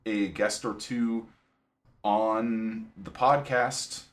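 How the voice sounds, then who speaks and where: A man co-hosting a book podcast, steering the talk in a steady, matter-of-fact way. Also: lowish voice, extremely slow, slight background noise. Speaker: podcast co-host. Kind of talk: casual chat, wrapping up the episode.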